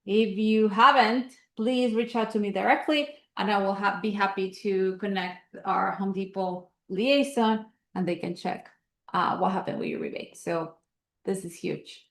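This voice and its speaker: A woman with a Colombian accent runs through announcements for her members, chatty and upbeat and full of little ums and uhs. Her voice sits at an ordinary middle pitch for a woman. The sound has a roomy echo around it. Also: measured pace, fairly bright tone. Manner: casual, filler-heavy, upbeat promoting. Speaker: investor association host. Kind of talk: announcements at an online meeting.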